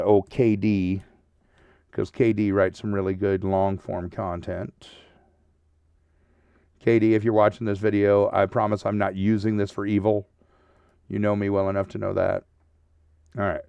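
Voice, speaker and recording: Low, creaky-voiced man, casual tutorial host. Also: mellow, dry recording, recorded from a distance. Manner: informal, checks in often with a tag question. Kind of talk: casual screen-share tutorial, narrating steps as he goes.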